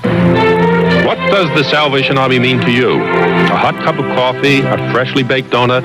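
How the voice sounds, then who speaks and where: A man reading a scripted 1970s radio public service announcement, earnest and clearly phrased. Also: mid-range voice, poor audio. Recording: vintage radio spot over music. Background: loud music.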